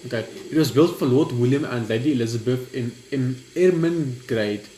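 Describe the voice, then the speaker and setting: A young man plays and talks through a game in a casual way. He reads aloud haltingly, tripping over words. The recording is echoey, with some noise behind him. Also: medium pitch, dark tone. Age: young adult. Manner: halting, stumbles when reading aloud. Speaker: gaming video host. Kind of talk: casual gameplay commentary and reading aloud. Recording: roomy echo, clear background noise.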